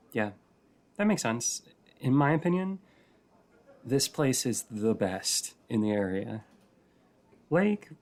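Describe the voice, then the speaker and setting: Male actor as shy sweet writer, coffee-shop regular, hesitant, rambling, softly flirty, intimate audio roleplay, mid-range pitch.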